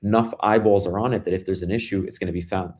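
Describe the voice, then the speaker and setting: Low-voiced Brooklyn man telling a story. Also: steady tone, fast, a little mellow. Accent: Brooklyn New York. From Brooklyn, New York, US. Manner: loose storytelling with many fillers and restarts. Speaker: guest, startup founder. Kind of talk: casual interview conversation.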